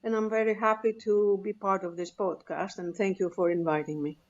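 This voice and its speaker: A woman, a clinical psychologist speaking on a panel in a measured, thoughtful way with many hesitations. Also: mid-range voice, flat delivery, dull audio. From Greece. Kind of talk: panel discussion.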